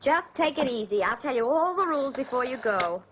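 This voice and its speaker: A bossy agency boss fires off orders in a high, lively voice that swoops around. The old soundtrack is dull with a faint hiss behind her. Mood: brisk. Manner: brisk and bossy, snapping orders. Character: bossy brisk female agency manager. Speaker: escort agency manager. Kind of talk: 1940s British film comedy acting.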